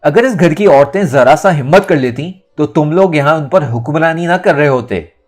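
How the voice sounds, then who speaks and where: Man voicing a defiant young woman, raised pitch. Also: lively intonation, moderate pace, faint music behind. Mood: angry, defiant. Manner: forceful, emphatic, unyielding. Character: defiant young woman standing up for her rights. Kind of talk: dramatic audiobook character acting.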